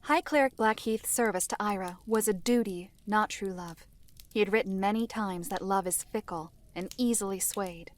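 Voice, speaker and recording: A woman playing a solemn mystical seer who narrates a fantasy drama in a measured, formal way. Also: medium pitch, close to an everyday voice. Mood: knowing. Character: solemn mystical female seer recounting past tragedies.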